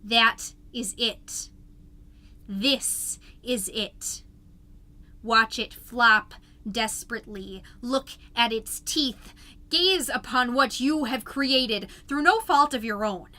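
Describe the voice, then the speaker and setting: Hypnotic self-help narrator, played by a woman, high clear-cut bright tone, deliberate incantatory phrasing, quietly ominous podcast comedy. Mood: insistent, menacing. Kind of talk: mock inspirational reading.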